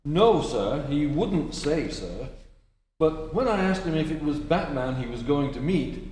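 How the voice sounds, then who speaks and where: A man's voice: very animated, measured pace, a little mellow. Polite, chatty butler, acted by a man, swooping intonation, 1940s radio serial, echoey hall. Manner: formal, polite, lilting. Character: deferential, chatty English male butler. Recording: echoey hall with rumbling noise.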